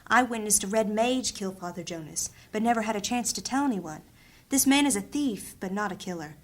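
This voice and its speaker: An actress playing an earnest, resolute princess with a pleading manner in a fantasy audio drama. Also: female voice, mid-range voice, lively intonation, fairly quick, natural voice. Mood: earnest defence.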